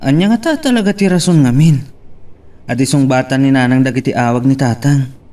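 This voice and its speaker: A man acting as an irritated elder brother in an audio drama. His questioning voice is warm and mid-pitched, recorded a little away from the mic. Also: brisk, distant mic, natural voice.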